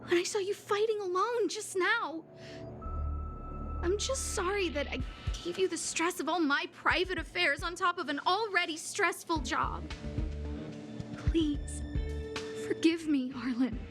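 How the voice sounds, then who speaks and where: A woman's voice: very high-pitched, measured pace, long pauses, over music. A woman playing a caring, apologetic young noblewoman in a video game, polite and openly emotive. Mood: remorseful.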